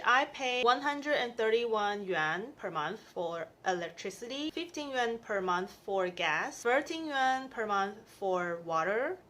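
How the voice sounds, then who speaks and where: A woman's voice: medium pitch, bright, forceful tone. A woman in her thirties from Taiwan, talking chattily through her monthly costs in a vlog. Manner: chatty, upbeat, itemizing costs. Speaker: vlogger. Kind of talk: casual explainer vlog.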